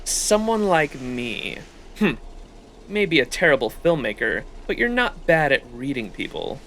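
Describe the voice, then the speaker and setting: A man voicing a smug, cool-headed businessman from a game, measured and mocking. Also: fairly high pitch, big pitch swings, a bit bright, a little hiss or hum, heavily acted. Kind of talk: acted reading of game dialogue.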